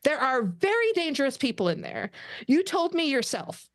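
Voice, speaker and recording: A young woman acting as a space officer in pirate guise, commanding and insistent. Her voice is pushed high, in a dry recording for a sci-fi table read. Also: very animated, moderate pace, no room sound. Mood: stern. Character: young alien woman officer posing as tough pirate captain. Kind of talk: fan script table read.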